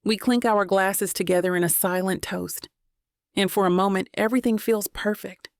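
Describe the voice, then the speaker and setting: A woman's voice: mid-range voice, fairly even intonation, moderate pace, no room sound, clean studio sound. A woman reading a first-person romance audiobook as scripted narration.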